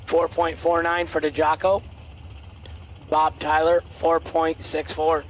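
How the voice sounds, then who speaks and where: A man announcing drag races at an outdoor track in clipped, terse calls, his voice going hoarse. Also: a little high, monotone, takes it slowly, slight background noise. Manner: clipped, terse calls of lanes, dial-ins and reaction times. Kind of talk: race commentary.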